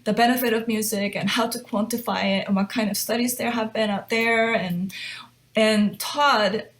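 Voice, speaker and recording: A middle-aged woman from Japan, a pianist, telling her story in a relaxed interview with light laughs between thoughts. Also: fairly high pitch, normal speed. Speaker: guest pianist.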